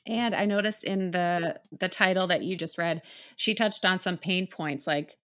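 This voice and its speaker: A woman hosting a podcast, chatting casually and asking her guest upbeat quick-fire questions. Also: lowish voice, fairly bright tone. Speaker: podcast host. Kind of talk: casual interview chat.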